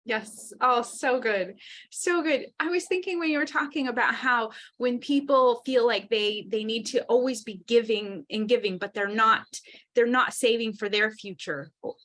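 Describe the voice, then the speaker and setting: A woman's voice: a little high, moderate pace, pushed and bright. Enthusiastic woman podcast host. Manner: enthusiastic, affirming. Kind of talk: podcast interview.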